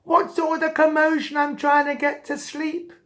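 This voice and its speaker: A woman voicing a sensible, kindly little male mouse in a children's story, brisk and reassuring. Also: high-pitched, level tone, a bit bright, voice pushed up. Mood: annoyed.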